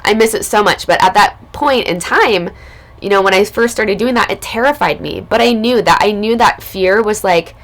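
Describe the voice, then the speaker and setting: A woman recovering from illness, her voice hoarse and raspy, telling a personal spiritual story in a casual, loose way as a podcast host. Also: mid-range voice, normal speed, a bit bright. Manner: casual, loose, spiritual talk with many fillers.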